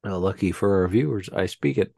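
A man's voice: low-pitched, brisk, creaky, warm tone, no echo. A man co-hosting a podcast who answers with short, dry quips. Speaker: podcast co-host. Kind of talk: casual chat.

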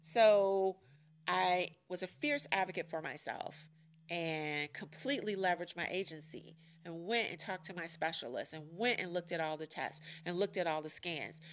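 A woman in her forties from Chicago hosting a podcast, talking frankly and jokingly in a deep, nasal voice. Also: normal speed. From Chicago, USA. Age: 40s. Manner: frank and joking, laughs often. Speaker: podcast host. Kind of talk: casual candid monologue.

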